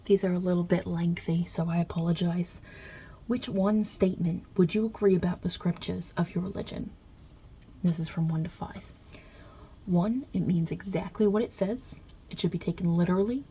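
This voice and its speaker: A woman voicing God as a wry, touchy Italian mob boss in an ASMR roleplay, with a husky, breathy voice and a put-on Italian-American New York accent. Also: lowish voice, fairly even intonation, normal speed. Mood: reading out questions. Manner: casual, wry, chatty, with softly murmured asides.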